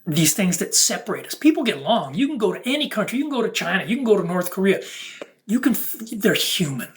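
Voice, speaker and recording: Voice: mid-range voice, very animated, fast talker, a little hiss or hum. A speaker delivering an unscripted, preachy monologue to viewers in emphatic, broken-up phrases. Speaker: content creator.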